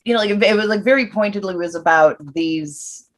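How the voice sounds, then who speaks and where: A woman's voice: mid-range voice, normal speed. A woman artist talking in a casual, searching way in a panel discussion. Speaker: artist and video maker on a panel.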